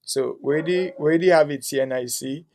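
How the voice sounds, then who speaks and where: A man's voice: on the high side, quick pace, darkish tone. A young man teaching a coding tutorial, thinking aloud as he types, with frequent "um"s and a tag "right?". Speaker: coding instructor. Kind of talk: screen-share coding tutorial.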